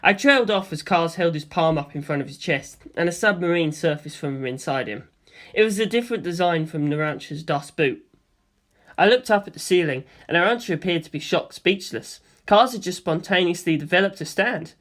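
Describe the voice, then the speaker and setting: Story narrator, medium pitch, animated intonation, theatrical, expressive first-person audiobook reading. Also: fairly quick.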